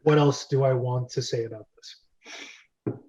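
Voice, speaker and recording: A man's voice: mid-range voice, fairly even intonation, slow, a little mellow. A man hosting a casual group discussion, chatty and explanatory as he talks, on a lo-fi recording. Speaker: host of a script reading club. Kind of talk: casual group discussion with read-aloud script.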